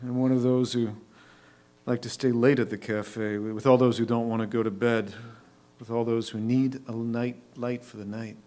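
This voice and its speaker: Guy playing an older waiter, patient, a bit lonely, medium pitch, stage reading. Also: little pitch movement, normal speed, mellow, natural voice. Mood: wistful. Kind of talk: staged reader's theatre reading.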